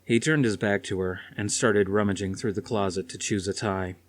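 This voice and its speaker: A man's voice: on the low side, fairly even intonation, moderate pace, no room sound. A man reading a dramatic story aloud as scripted audiobook narration. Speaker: audiobook reader.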